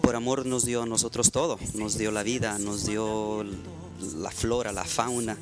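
A man's voice: on the low side, steady tone, unhurried, over music. A middle-aged man with a Mexican accent, a guest musician speaking in an earnest, heartfelt way on a live radio interview. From Mexico, Baja California.

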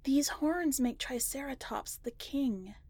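Woman as withdrawn little girl, raised pitch, slow, earnest, absorbed, storybook character. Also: lively intonation, darkish tone.

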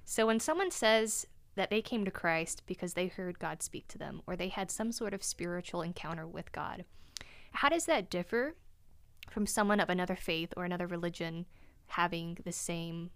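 A woman's voice: fairly low pitch, clear, resonant tone, no room sound. An eighteen-year-old woman from North Carolina with an American accent, chatting and asking questions on a podcast as a college student. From North Carolina, United States. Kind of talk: casual podcast conversation.

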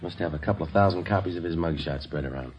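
An actor playing a terse, dogged police detective in 1950s radio drama style, clipped and matter-of-fact. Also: male voice, deep voice, quick pace, a little mellow, a little hiss or hum, natural voice. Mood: weary, businesslike. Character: terse, dogged male police detective sergeant narrating his case. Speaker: detective and narrator. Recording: muffled old radio recording, music.

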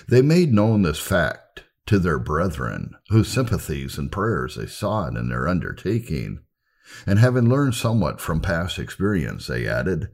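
Very deep, gravelly man reading history aloud. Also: darkish tone. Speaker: narrator reading church history. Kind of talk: scripted audiobook narration.

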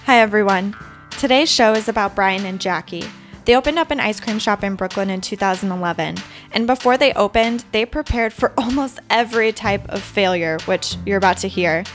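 A woman's voice: mid-range voice, a little fast. Woman podcast host over music. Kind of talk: scripted narration.

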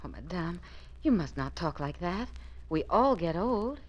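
An actress playing a loyal, gentle lady's maid who speaks softly and deferentially, in old radio-drama style. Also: fairly low pitch, very animated, measured pace, muffled recording. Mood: soothing. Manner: soft, soothing, deferential.

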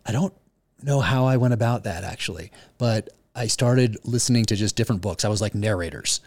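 A man who is an author, chatting casually in an interview and telling relaxed stories with plenty of fillers. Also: on the low side, a little fast, no room sound. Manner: relaxed storytelling with frequent fillers.

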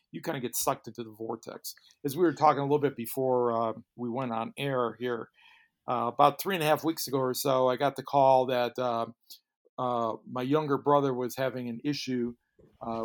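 A man co-hosting a podcast talks in an easygoing, supportive way at a medium pitch. He restarts his sentences a bit as he feels his way through them. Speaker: podcast co-host. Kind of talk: casual chat.

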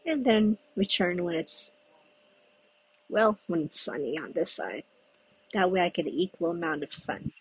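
A solo podcaster talks outdoors, where the breeze is noticeable and people pass by. The voice is very husky and breathy, sitting at a middle pitch. The talk wanders loosely from one thought to the next, with pauses to think. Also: animated, rough recording. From United States. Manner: chatty, meandering, thinks aloud with pauses. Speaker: podcast host. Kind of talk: rambling solo podcast chat. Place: outdoors in a breezy spot with passers-by.

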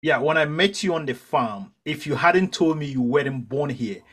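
A man's voice: a little high, expressive, moderate pace, a bit bright. A man from Ghana with a Ghanaian accent who hosts a podcast and speaks in a friendly, plain-spoken way during a casual interview. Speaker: podcast host.

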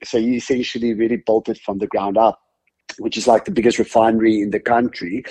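A man's voice: mid-range voice, darkish tone, slightly rough, no echo, rough recording. A man from South Africa whose first language is Afrikaans, speaking with a South African accent as a guest, telling his story in a loose, chatty way full of fillers. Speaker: podcast guest. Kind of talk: casual interview conversation.

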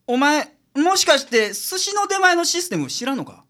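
女性が演じるぶっきらぼうな男探偵、抑揚たっぷり. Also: female voice, high voice, sing-song, moderate pace, a bit bright. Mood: skeptical, gruff. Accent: Kansai. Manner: brusque, theatrical deductions. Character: gruff, shrewd adult male private detective. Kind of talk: community radio drama acting.

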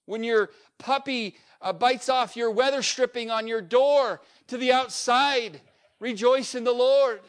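A male pastor preaching a sermon, building up to a repeated refrain and swinging between loud peaks and quiet, low asides. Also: a little slow, smooth. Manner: emphatic preacher with swings in pitch and loudness, repetitive refrain.

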